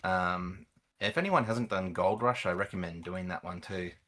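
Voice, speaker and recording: Gamer guy guest, low voice but bright and punchy, casually explaining stuff. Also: normal speed. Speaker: guest, experienced gamer and event organiser.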